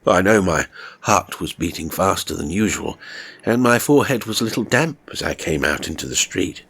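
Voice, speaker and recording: A man's voice: sits low, expressive, moderate pace, creaky, fairly bright tone, breathy edge. A man reading a first-person horror story as scripted narration, dramatic and suspenseful in his delivery. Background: music.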